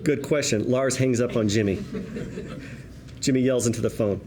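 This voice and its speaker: A man narrates a screenplay's action at a table read. His voice sits at a medium pitch. A little noise runs behind it. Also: normal speed. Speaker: reader of stage directions.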